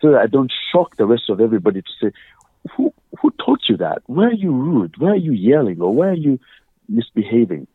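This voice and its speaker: A man's voice: mid-range voice, sing-song, fairly quick, breathy edge, no echo. Ugandan man on a tinny phone line. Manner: earnest, explains with examples and quoted questions. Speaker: guest. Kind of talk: conversational interview.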